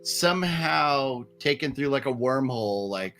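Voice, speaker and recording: A man on a discussion panel, chatting casually in a halting way. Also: mid-range voice, expressive, a bit bright, soft background music.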